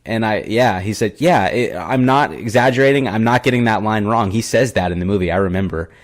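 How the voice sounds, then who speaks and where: A man's voice: lowish voice, a little fast. A young man co-hosting a podcast, casually retelling a horror film with lots of fillers and restarts. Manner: rambling, filler-heavy retelling. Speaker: podcast co-host. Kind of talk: casual movie review chat.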